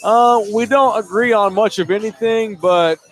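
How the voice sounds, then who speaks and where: A man's voice: high-pitched, normal speed, soft background music. A man hosting a casual sports podcast, joking crudely and reading out viewer comments. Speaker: podcast host. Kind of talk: casual sports talk with a co-host.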